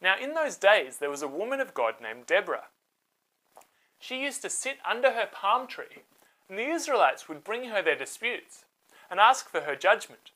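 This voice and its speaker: A woman narrating a scripted children's Bible story in a dramatic, expressive way. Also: mid-range voice, normal speed, poor audio.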